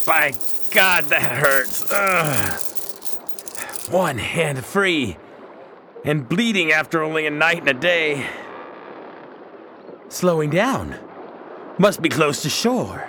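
An actor playing a stubborn, defiant captive sea captain in a period radio drama, with dramatic, old-fashioned delivery. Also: male voice, fairly high pitch, big pitch swings, takes it slowly, creaky, pauses often, sharp tone, slight background noise, full character voice. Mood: strained, plotting.

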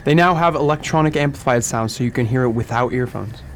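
A man's voice: mid-range voice, quick pace, a little hiss or hum, close to an everyday voice. An actor playing a chatty, patronizing 1920s shop clerk in a radio drama, with a salesman's patter. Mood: eager, condescending. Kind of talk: old-time radio drama acting.

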